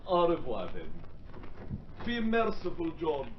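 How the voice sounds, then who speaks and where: An actor playing a suave, courteous European opera singer with eloquent, theatrical delivery in a radio drama. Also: male voice, fairly high pitch, huge pitch swings, slow, heavily acted. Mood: earnest, courtly. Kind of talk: mid-century Australian radio drama acting. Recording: dull, noisy old radio drama recording.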